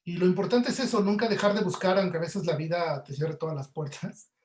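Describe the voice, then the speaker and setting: A man's voice: high-pitched, fairly even intonation, fairly quick, darkish tone. A man with a Mexican accent giving casual answers as an audio producer being interviewed in an online webinar. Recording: roomy, echoey sound.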